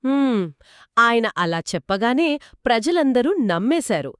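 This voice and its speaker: A woman narrating a folk tale in a lively, expressive storytelling manner, trading lines with a co-host. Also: a little high, unhurried, smooth, dry recording, studio quality. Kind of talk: scripted two-voice story narration.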